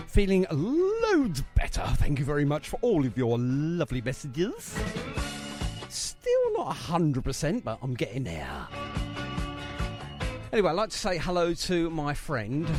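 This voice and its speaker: A man hosting a radio show in a chatty, jovial, bantering manner. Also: a little high. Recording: music playing underneath the voice. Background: music bed.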